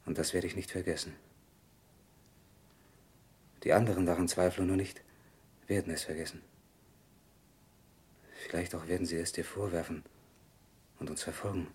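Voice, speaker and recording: An actor playing a sober, dutiful Parisian husband in an old German radio drama, grave and measured. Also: male voice, steady tone, a little mellow. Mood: sober, reproachful. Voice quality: husky, low.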